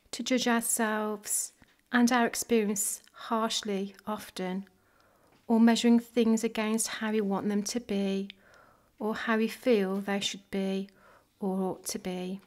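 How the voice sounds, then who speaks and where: A woman leading a guided body scan meditation in a soft, gentle, measured way. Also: fairly high pitch. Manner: gentle, measured, unhurried phrasing with pauses.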